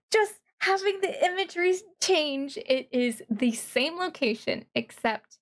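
A woman VTuber giving reaction commentary on a game trailer in a thoughtful, analytical, halting way. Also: high-pitched. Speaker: VTuber streamer.

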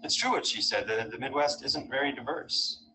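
A man's voice: medium pitch, normal speed, background noise. A young man acting in a sketch, answering in a dry, reserved way. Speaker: sketch actor.

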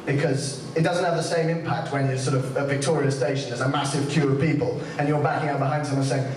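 A man's voice: mid-range voice, steady tone, quick pace, darkish tone, a little hiss or hum. A young man with a light, boyish voice runs a rambling, self-mocking stand-up set. He is picked up from across an echoey small club, with the audience's laughter audible behind him. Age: young adult. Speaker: stand-up comedian. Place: small comedy club before a seated audience. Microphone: handheld stage mic. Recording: echoey club recording from a distant camera.

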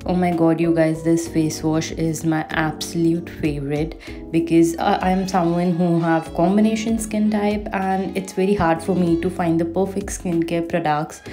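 A woman's voice: lowish voice, flat delivery, normal speed. A young woman in her twenties chatting enthusiastically through her home shower and skincare routine as a beauty vlogger. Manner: chatty, enthusiastic about products. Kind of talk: casual routine vlog voice-over. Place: bathroom at home. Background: faint music.

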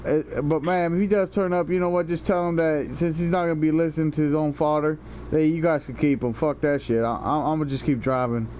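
A young man with a slightly high voice rambles through a prank phone call in casual slang. He stammers and swears as he goes, with faint noise behind him. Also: steady tone, fairly quick, a little mellow. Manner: rambling, foul-mouthed, stammering. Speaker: prank caller and video host.

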